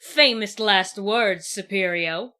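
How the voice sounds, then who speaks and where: A woman's voice: medium pitch, slow, noisy background, natural voice. A woman playing a mocking, grandiose supervillain in an audio drama. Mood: mocking, menacing. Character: taunting theatrical female supervillain in a stage show.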